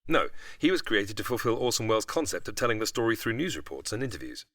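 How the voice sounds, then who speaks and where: A man's voice: lowish voice, very animated, fast, a bit bright, no room sound, clean studio sound. A man with a British accent, a podcast guest giving scripted explanations in a narrating style.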